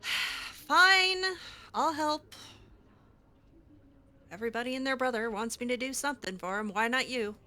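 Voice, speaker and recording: A woman's voice: on the high side, measured pace, long pauses, bright, forceful tone. A woman improvising in character for a game roleplay, sardonic and hesitant, given to sighing. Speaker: gamer voicing her own character in a roleplay playthrough.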